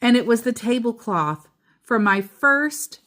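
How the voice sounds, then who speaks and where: A woman reading a children's book aloud as a storyteller, deliberately, pausing between short phrases and adding casual asides. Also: on the high side, lively intonation, a bit bright.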